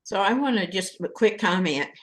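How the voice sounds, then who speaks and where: A woman's voice: medium pitch, animated, moderate pace. Blunt woman trainer, matter-of-fact. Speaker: fair housing trainer. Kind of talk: webinar panel discussion.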